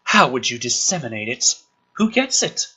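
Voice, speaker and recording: A man's voice: medium pitch, big pitch swings, moderate pace, sharp tone, slightly rough. A man reading a script aloud with theatrical emphasis and incredulous, profane, exasperated commentary, as the host of a review show.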